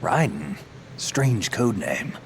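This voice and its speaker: Video game veteran soldier: gruff, low, guarded, clipped and probing, with very lively inflection. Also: male voice, slow pace, slight background noise, exaggerated voice. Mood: wry. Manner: terse, clipped, questioning. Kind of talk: video game cutscene acting. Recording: game music behind the voice.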